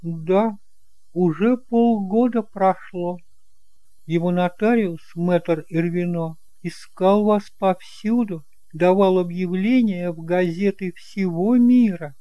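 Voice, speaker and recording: Man voicing a theatrical, high-pitched middle-aged aunt. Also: slow, warm tone, dry recording. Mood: businesslike, confiding. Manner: theatrical, exclamatory. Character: large middle-aged widowed shopkeeper aunt, gushing and condescending.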